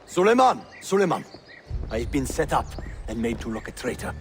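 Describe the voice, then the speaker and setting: An actor playing a haughty, formal prince in a video game, speaking with urgency. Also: male voice, medium pitch, sing-song, fairly quick, faint music behind. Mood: agitated. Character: agitated, haughty Ottoman prince, an uncle. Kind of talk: dramatic video game acting.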